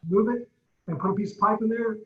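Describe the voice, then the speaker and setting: A man's voice: high voice, fast. A man on a conference call casually telling a practical anecdote, on dull, roomy call audio. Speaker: participant on the call.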